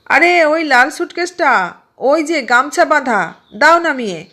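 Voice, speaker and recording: A woman's voice: high voice, expressive, a little slow, bright, forceful tone, pitched above the performer's own voice, full character voice. A woman voicing flustered, bossy bus passengers in an audio story, calling out urgently. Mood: bossy, alarmed.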